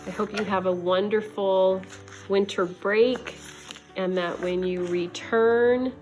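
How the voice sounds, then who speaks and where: Female storybook reader, teacherly: unhurried, expressive delivery at a medium pitch, for young listeners. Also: faint music behind, low-quality recording. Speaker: schoolteacher reading to students. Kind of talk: children's storybook read-aloud.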